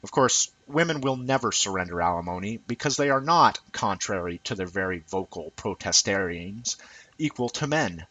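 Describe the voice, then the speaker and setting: A man reading a written essay aloud in an emphatic, rhetorical manner with deliberate phrasing. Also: on the low side, a bit bright, dry recording, poor audio. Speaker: reader of an essay. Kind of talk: scripted essay reading, audiobook-like narration.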